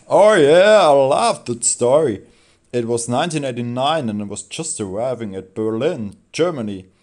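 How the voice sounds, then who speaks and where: A man's voice: medium pitch, lively intonation, moderate pace, darkish tone, close to an everyday voice. An actor playing a self-absorbed, sentimental celebrity showman telling anecdotes in a parody audio play. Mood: self-satisfied.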